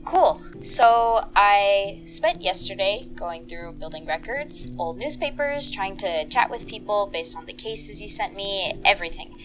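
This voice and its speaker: A methodical private investigator in an audio drama. Her mid-pitched voice is casual and confident, laying out her reasoning step by step over faint music. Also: a bit bright, rough recording. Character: methodical, open-minded female private investigator.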